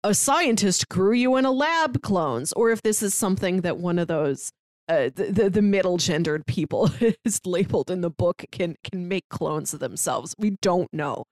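A woman's voice: on the low side, lively intonation, moderate pace, a little mellow, no echo, studio quality. A woman co-hosting a podcast in a casual, conversational discussion of books. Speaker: podcast co-host reviewing manga.

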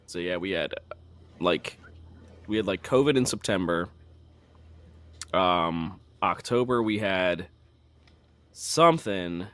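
Slightly hoarse man chatting casually. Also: low voice, expressive, slow pace, long pauses, fairly bright tone. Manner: rambling, laughs between phrases, filler-heavy. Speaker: co-host. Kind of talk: casual chat.

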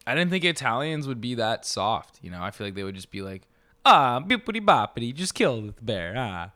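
A man's voice: medium pitch, big pitch swings, a little fast, bright, forceful tone. A man from New York with a New York accent, joking and swearing as he co-hosts a casual comedy podcast. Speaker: podcast co-host.

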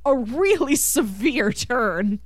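A woman chatting casually as a podcast co-host about media, animated, often acting out imagined reactions and laughing through her words. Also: a little high, slow, no room sound. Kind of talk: casual film discussion.

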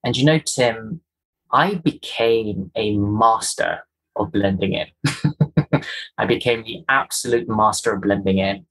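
A man's voice: fairly low pitch, slow, a bit bright, husky, poor audio. A man from Kenya, a guest in an interview, explaining his ideas earnestly, often in lists of rhetorical questions. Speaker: guest, culture and inclusion executive.

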